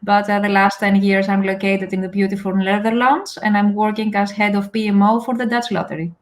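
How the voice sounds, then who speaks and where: A woman's voice: mid-range voice, flat delivery, brisk. A woman from Greece with a Greek accent, briefly introducing herself as a panel guest. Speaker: panel guest, head of PMO.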